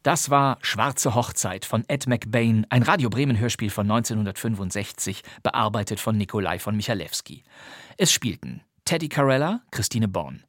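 A man with a husky voice hosting a podcast, reading a scripted presentation of radio plays. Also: on the low side, lively intonation, normal speed, a bit bright, no room sound, studio quality. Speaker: podcast host.

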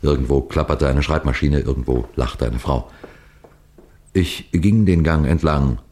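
A man playing a wary police detective who narrates his mission in deliberate, suspenseful 1960s radio crime drama style. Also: a bass voice, a little mellow. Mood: suspenseful, measured. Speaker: narrator and lead character. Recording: noisy vintage 1960s radio recording.

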